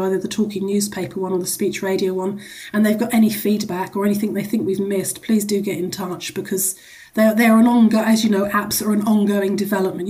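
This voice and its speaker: A woman's voice: mid-range voice, mellow. A woman speaking as a charity executive guest in a radio interview, explaining things in a chatty, informal way.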